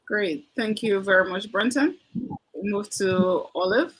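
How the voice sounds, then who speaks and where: A woman's voice: mid-range voice, unhurried, breathy edge, rough recording. A woman moderating an online business panel, formal and welcoming as she introduces the speakers.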